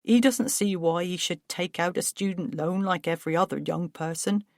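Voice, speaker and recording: A woman's voice: lowish voice, normal speed, a little mellow, dry recording, studio quality, natural voice. A woman voicing a flustered, self-pitying middle-aged businessman who turns defensive and agitated. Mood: rueful. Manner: agitated, defensive, rising to outbursts.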